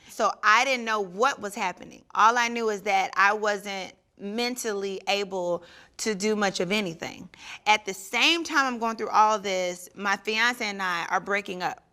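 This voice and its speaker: A young woman speaks earnestly and reflectively about a difficult stretch of her life. Her voice is bright and forward, with a mid-range pitch. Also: normal speed. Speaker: reality show cast member. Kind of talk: personal confession in a sit-down interview.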